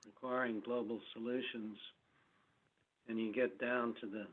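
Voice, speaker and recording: Slow-talking man on a tinny phone line. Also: mid-range voice, little pitch movement. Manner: hesitant, deliberate, pausing mid-phrase. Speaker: participant on a conference call. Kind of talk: unscripted question and comment.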